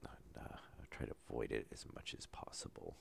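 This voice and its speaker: A man calmly talking through a survival game as he plays, low-key and unhurried, with no put-on enthusiasm. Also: whispered. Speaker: gameplay commentator. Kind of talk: casual let's-play commentary.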